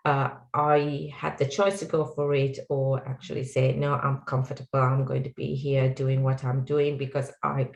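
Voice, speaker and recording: A woman's voice: deep voice, echoey room, poor audio. A middle-aged woman with a Sri Lankan accent, speaking as a guest on an online panel in an earnest, reflective way with many fillers. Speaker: guest speaker, tech executive.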